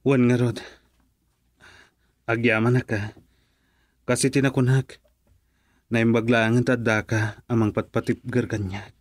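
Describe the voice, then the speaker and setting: An actor as a poor young farm man who has been jilted, speaking earnestly with an Ilocano Filipino accent. His voice is mid-pitched and recorded very dry, in radio drama style. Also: flat delivery, measured pace, pauses often, no room sound, lightly acted. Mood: dejected.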